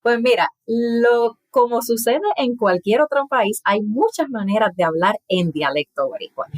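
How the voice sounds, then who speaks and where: A woman's voice: fairly high pitch, animated, measured pace, dry recording. Chatty Puerto Rican woman, dry sound. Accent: Puerto Rican Spanish, aspirated s. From Puerto Rico. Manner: chatty, laughs often, explanatory. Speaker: guest, language content creator. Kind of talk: casual interview conversation.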